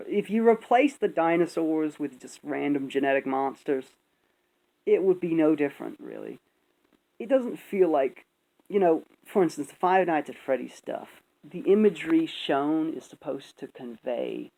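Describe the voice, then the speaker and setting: Animated, gravelly off-the-cuff video commentator. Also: mid-range voice, poor audio. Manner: rambling, emphatic, frequent swearing. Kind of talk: casual opinionated commentary.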